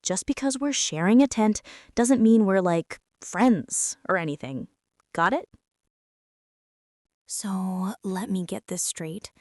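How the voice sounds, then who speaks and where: A woman's voice: mid-range voice, takes it slowly, pauses often, darkish tone, no room sound, lightly acted. A young woman playing a prickly, defensive teenage school bully in an intimate girlfriend ASMR roleplay, sulky and sarcastic, close to the mic. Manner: sulky, sarcastic, huffy, with sighs and whispers.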